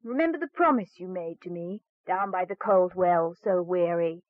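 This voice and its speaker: A woman voicing an enchanted frog in a fairy tale, croaking coaxing, singsong pleas full of endearments. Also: lowish voice, normal speed, no echo, phone-quality sound, natural voice. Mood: pleading, insistent. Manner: singsong, rhyming entreaties with endearments. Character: goggle-eyed enchanted frog, coaxing and insistent. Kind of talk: fairy tale storybook reading.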